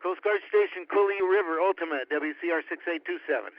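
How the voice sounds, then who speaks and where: A man's voice: high voice, a little fast, no echo. A man speaking as a boat skipper over a VHF marine radio. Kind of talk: marine radio distress call. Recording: muffled VHF radio with static.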